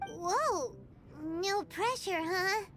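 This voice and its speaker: A woman voicing a tiny, excitable female companion creature in a video game dub, blunt and squeaky. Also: drawn-out words, heavily acted. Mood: curious.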